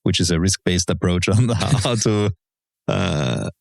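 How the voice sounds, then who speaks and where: Deep gravelly man, halting. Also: expressive, darkish tone, no room sound, clean studio sound. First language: German. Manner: hesitant, many fillers and restarts, measured explanations. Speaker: guest, legal professional. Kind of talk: relaxed conversational interview.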